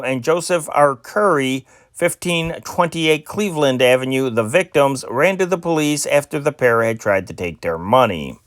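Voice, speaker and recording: A man's voice: fairly high pitch, a bit bright, no room sound, studio quality. A man reading old newspaper stories aloud, with occasional stumbles and restarts. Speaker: amateur news reader and channel host.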